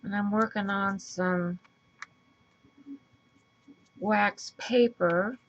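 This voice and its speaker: Raspy woman with a stuffy nose doing a slow crafting vlog, dull lo-fi sound. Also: mid-range voice, steady tone. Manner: chatty, thinking aloud while working. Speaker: craft video host. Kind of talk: casual crafting tutorial.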